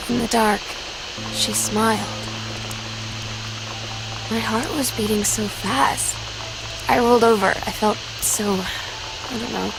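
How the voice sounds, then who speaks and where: A woman's voice: on the high side, gravelly, background noise. A young American woman playing a sensitive, lovestruck teenage girl confiding hesitantly in an audio diary for a game. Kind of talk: intimate first-person game audio diary. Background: music.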